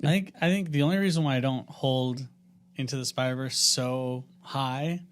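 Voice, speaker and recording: A man's voice: mid-range voice, fairly even intonation, normal speed. A man co-hosting a podcast in casual group banter, with a slangy, opinionated way of talking. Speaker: podcast co-host.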